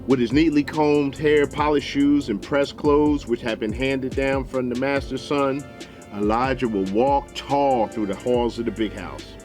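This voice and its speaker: An American man hosting and telling a story in a deliberate, folksy, dramatic way. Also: medium pitch, fairly even intonation, a little mellow. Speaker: storyteller, show host. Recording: music behind the voice.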